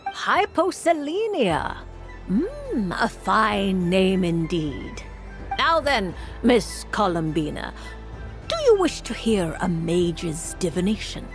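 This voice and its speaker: A woman's voice: mid-range voice, very animated, slow pace. A woman voicing a grand, mysterious and playful witch fortune-teller in an anime game, with formal, ornate, theatrical phrasing. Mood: delighted. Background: music.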